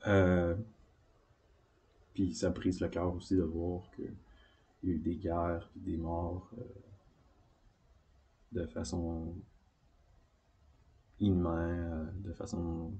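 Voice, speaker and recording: Man, deep mellow voice, Quebec French accent, halting unscripted monologue, searching for words. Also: little pitch movement, highs cut off. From Beauce, Quebec, Canada. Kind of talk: unscripted personal monologue, rambling reflection.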